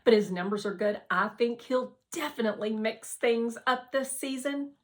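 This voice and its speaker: A woman's voice: on the high side, moderate pace, voice pushed up, lightly acted. A woman voicing a friendly, self-assured schoolgirl in a storybook, with a casual, assured manner. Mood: nonchalant, knowing.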